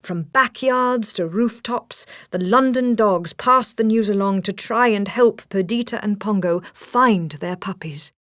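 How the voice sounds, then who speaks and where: A woman's voice: mid-range voice, unhurried, few pauses, a bit bright, no echo, studio quality. A woman reading a scripted children's story as a storybook narrator.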